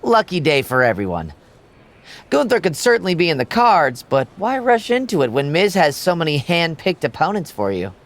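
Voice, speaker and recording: A smug, teasing assistant needles with sarcasm in a high voice that swoops and bounces. The delivery is lively and sly, as in a game cutscene. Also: male voice, normal speed. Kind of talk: video game voice acting.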